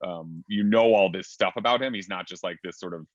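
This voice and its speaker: A man with a very low voice moderates a fan panel over a video call, and the sound is dry and muffled. He pads his sentences with fillers and keeps chiming in with short agreements. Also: brisk, no room sound. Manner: filler-heavy, frequent brief agreement. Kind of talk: casual panel discussion.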